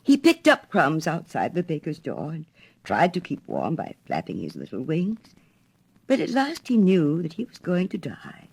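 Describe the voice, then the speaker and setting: Female storyteller for a literary fairy tale: measured, dramatic, very varied intonation. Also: sits low, breathy edge, poor audio. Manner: expressive, measured delivery.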